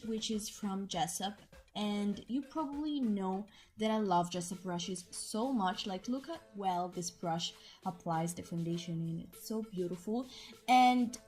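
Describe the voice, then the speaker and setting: A young woman who is losing her voice, narrating a makeup tutorial voiceover in a chatty, enthusiastic way. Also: medium pitch. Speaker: beauty vlogger. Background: soft background music.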